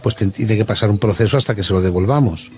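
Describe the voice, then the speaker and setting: A man's voice: fairly low pitch, fast, darkish tone, a little hiss or hum, voice pushed down, natural voice. A man with an accent from Spain playing a pushy, evasive campsite owner on a prank phone call, formal and repetitive. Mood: insistent, rambling. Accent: Spanish, from Spain.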